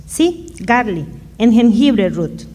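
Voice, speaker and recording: A woman playing a humble, generous Mexican mother in a radio drama, speaking halting Spanish-accented English sprinkled with Spanish words. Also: a little high, sing-song, warm tone, exaggerated voice. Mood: gentle, helpful.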